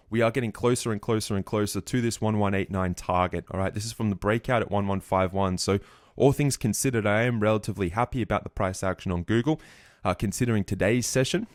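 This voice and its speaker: A man giving a market recap over his trading charts, a bit croaky, walking through trades confidently. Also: on the low side, dry recording, studio quality. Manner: fast, confident chart walkthrough. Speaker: trading educator.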